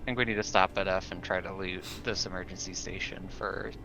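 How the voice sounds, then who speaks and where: A man's voice: low voice, steady tone, a little fast, gravelly, background noise. A man chatting casually as a player in a tabletop game, on a dull recording. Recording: dull lo-fi sound under music. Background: music.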